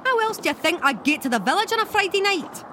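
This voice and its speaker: A woman's voice: very high-pitched, sing-song, quick pace, fairly bright tone, slight background noise, full character voice. A woman playing a huge, grumpy female loch monster with a Scottish accent and salty, indignant slang in a comedy audio drama. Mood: feisty, dry. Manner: brash, salty, indignant slang-heavy talk. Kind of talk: audio fiction comedy acting.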